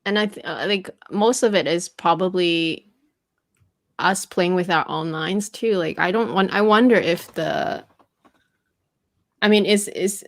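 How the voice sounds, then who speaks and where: A woman's voice: on the low side, unhurried, pauses often. Quebec woman on a video call, giggly, stops and restarts a lot. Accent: Quebec French. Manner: giggly, hesitant, many restarts. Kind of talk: casual chat.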